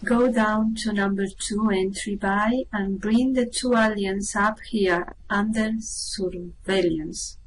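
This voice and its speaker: A woman acts as a patient, practical spaceship crewwoman in a radio play. She speaks slowly and matter-of-factly at a middling pitch, over clear background noise. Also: steady tone, natural voice, low-quality recording. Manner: patient, matter-of-fact, reassuring. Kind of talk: amateur radio play acting.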